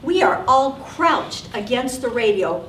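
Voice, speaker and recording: An older woman tells her story onstage with wry humor, her voice high and her pace slow and deliberate. She sounds roomy and set back from the mic, with faint theater ambience around her. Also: distant mic, noisy background. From South Texas, USA. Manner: wry, animated storytelling with acted asides. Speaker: solo performer. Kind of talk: storytelling stage monologue. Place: theater stage.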